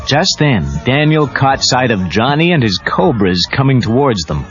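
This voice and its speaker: A man's voice: mid-range voice, big pitch swings, normal speed, a bit bright. A man reading scripted storybook narration as the narrator of a read-along tale. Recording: dull cassette tape with music.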